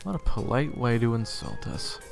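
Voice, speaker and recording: A man's voice: medium pitch, sing-song, moderate pace, vocal fry, long reverb tail, music in the background. A man playing a self-deprecating young adventurer, wry and casual, narrating and acting in an audio drama. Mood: casual, dry. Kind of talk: first-person story narration and drama acting.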